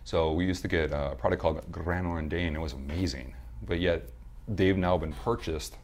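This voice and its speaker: A man as a podcast guest, telling rambling stories in casual chat with a slightly hoarse, smooth low voice. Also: steady tone, moderate pace, darkish tone, slight background noise. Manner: rambling storyteller, many restarts and fillers.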